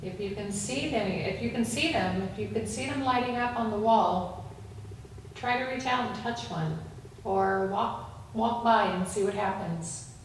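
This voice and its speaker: A woman's voice: mid-range voice, unhurried. A woman investigating the paranormal, putting simple questions and instructions to an unseen presence. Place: indoors in an old house. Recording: very echoey room, distant mic, faint noise.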